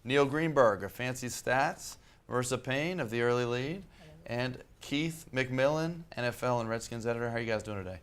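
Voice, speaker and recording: A man hosting a studio sports talk show, his voice hoarse, talking in a relaxed, conversational way. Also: mid-range voice, normal speed.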